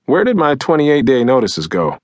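A male narrator acts out a brisk trailer park office manager in an audiobook. He talks plainly and colloquially, his husky voice pitched up above a narrating tone, close and dry. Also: wildly swooping pitch, darkish tone, no echo. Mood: businesslike. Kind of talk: audiobook character dialogue.

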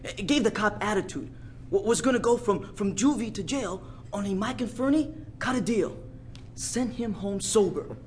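A shifty street courier of few words in an audio drama. He talks in halting, clipped bits with a very changeable pitch. Faint background ambience surrounds him. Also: lively intonation, a little mellow, slight background noise. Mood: sheepish explaining. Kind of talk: theatrical audio drama acting.

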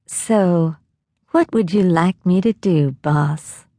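Lady playing a folksy henchman guy, polite but blunt, cool menace, slowish storybook delivery. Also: lowish voice, dark tone, dry recording. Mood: coldly deferential. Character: folksy, coolly menacing male henchman in a thriller.

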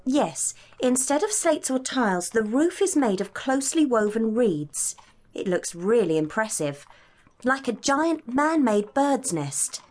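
A curious, observant female student takes part in an educational audio drama. Her voice is fairly high and very animated, with an eager, questioning manner. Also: measured pace. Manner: inquisitive, eager, expressive.

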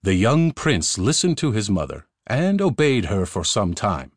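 Animated low-voiced male storyteller. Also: measured pace, no echo, studio quality. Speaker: audiobook narrator. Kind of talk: scripted fairy tale narration. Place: recording studio.

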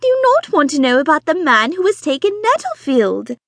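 A woman voicing an excitable, fussy middle-aged mother who gushes and grows impatient with her husband. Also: moderate pace, no echo, clean studio sound, exaggerated voice. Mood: excited, gushing. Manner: shrill, gushing, impatient.

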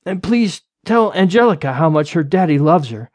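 A panicked, loving husband on the run in an audiobook thriller, played by a male narrator. He speaks in halting, urgent stammers, with a raised, very mobile pitch. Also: animated, no echo, natural voice. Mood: distraught, urgent. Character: hunted, distraught husband and father, loving but panicked.

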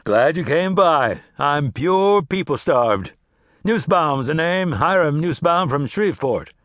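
A man's voice: on the high side, lively intonation, slow, a bit bright, no room sound, clean studio sound, voice pushed up. A man doing a suave chatty salesman. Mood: genial. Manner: smooth, chatty. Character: suave middle-aged male traveling salesman.

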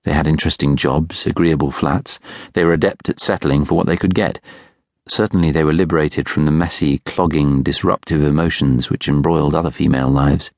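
A British man reading literary fiction as an audiobook narrator, in a measured, reflective way. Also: rumbling low, fairly even intonation, darkish tone, no echo, clean studio sound. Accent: British English. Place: studio.

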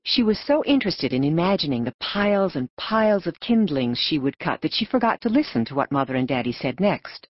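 Low-voiced, expressive woman narrator. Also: a little fast, hardly any pauses, no echo, dull audio. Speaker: audiobook narrator. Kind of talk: scripted story narration.

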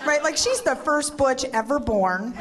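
A high, lively woman in her forties performs stand-up on a stage, her pitch leaping all over as she shouts her punchlines. A loud crowd laughs and roars around her in the live room. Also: a little slow, very noisy. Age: 40s. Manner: animated, shouting punchlines, doing voices. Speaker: stand-up comedian. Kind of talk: stand-up comedy routine. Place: comedy stage before a live audience.

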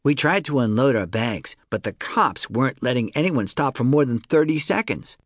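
A man narrating a children's story in the first person, in an expressive, conversational storytelling manner. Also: medium pitch, normal speed, no echo, studio quality. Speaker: audiobook narrator.

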